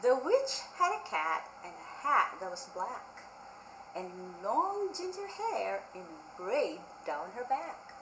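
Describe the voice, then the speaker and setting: A woman's voice: fairly high pitch, wildly swooping pitch, slow, noisy background. A woman hosting a storytime for young children and reading aloud to them with simple, repetitive prompting. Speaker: library storytime host. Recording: roomy echo with faint music.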